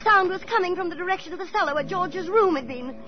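High-voiced, prim old-radio landlady. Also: animated, quick pace. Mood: frantic. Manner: fluttery, proper, theatrical. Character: prim, fussy, genteel older landlady, chillingly sweet. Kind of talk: 1940s radio thriller acting, first-person narration. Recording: muffled 1940s radio broadcast, music behind.

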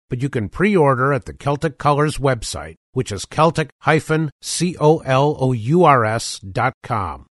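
A man presents a radio music program in a relaxed, informative way. The studio sound is dry and close. His voice sits at an ordinary male pitch. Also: dry recording. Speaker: radio host. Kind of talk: radio show links.

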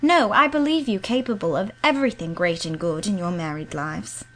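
A woman voicing a thoughtful, gentle young woman in an audiobook, measured and earnest. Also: medium pitch, lightly acted. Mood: heartfelt. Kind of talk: audiobook character reading.

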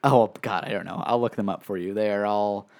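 A man's voice: on the low side, fairly even intonation, fairly quick, darkish tone. A man co-hosting a casual wrestling podcast, rambling and restarting his sentences, with frequent chuckles. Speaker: podcast co-host.

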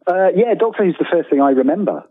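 Husky British man on a thin phone-like line. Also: high-pitched, expressive, fast talker, no room sound. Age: 40s. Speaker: guest author. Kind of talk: casual interview conversation. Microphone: remote call. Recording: thin phone-like call, dry.

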